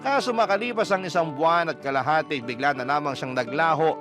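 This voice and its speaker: A man with a slightly high voice and a Filipino accent narrates a letter for a radio drama. His storytelling is measured, set over clearly audible music. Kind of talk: dramatic first-person letter reading. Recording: prominent music bed under narration.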